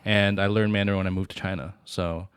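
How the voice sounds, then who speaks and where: A man's voice: sits low, flat delivery, fast, clear, resonant tone. A man co-hosting a podcast, joking casually and laughing often. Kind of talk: casual conversation.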